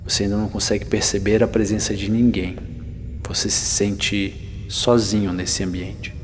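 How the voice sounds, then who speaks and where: A man narrating a guided ASMR journey slowly and softly, with pauses and near-whispered moments. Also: lowish voice, little pitch movement. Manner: hushed, unhurried, with soft pauses, sometimes near whisper. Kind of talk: slow immersive ASMR guided narration, second person. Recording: long reverb over the voice. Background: music.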